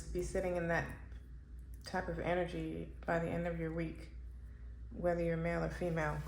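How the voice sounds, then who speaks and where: A woman doing a casual pick-a-card tarot reading for her channel. She thinks aloud slowly, with pauses and fillers. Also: fairly low pitch. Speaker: tarot reader. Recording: echoey room with faint background noise.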